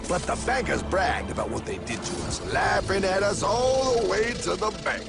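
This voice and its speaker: A man voicing an excitable, zealous cartoon guide who rants against evil bankers in an emphatic, conspiratorial way. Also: sits high, moderate pace, vocal fry. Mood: intense storytelling. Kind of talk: animated cartoon dialogue. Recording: dramatic music behind the voice.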